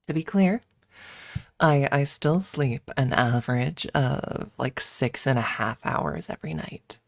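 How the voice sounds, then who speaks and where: A woman streamer chatting casually in a soft, hesitant ASMR style with playful self-deprecation, close to the microphone. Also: a bass voice, gravelly, no room sound. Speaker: ASMR livestreamer. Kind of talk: ASMR, casual rambling chat.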